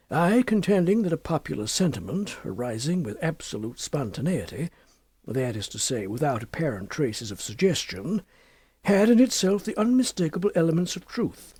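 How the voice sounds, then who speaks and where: A male audiobook narrator with a husky, fairly high-set voice reads a classic horror tale. His formal, measured delivery swings widely in pitch as he shapes each deliberate phrase. The sound is dramatic and literary. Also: mellow, no room sound.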